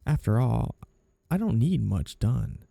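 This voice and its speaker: A man acts out a mafia boss for an intimate audio roleplay, with a very dark, mellow voice that crackles with fry. He is flirty and two-faced, lingering slowly over his words. Every courtesy is smooth and teasing, and carries a possessive, lovesick menace. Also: mid-range voice, sing-song, no room sound, full character voice. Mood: calmly menacing. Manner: smooth-talking, teasing, theatrically polite while threatening. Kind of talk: audio roleplay ASMR acting.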